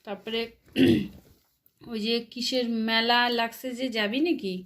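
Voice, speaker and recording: A middle-aged woman, chatting and gently chiding like a mother on a family phone call. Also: fairly high pitch, steady tone, takes it slowly. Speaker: mother on a phone call with her grown son. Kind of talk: casual family phone chat.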